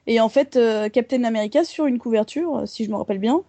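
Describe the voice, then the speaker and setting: A woman's voice: on the high side, quick pace, mellow, no room sound. A woman co-hosting a casual group podcast, rambling with many fillers. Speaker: podcast co-host.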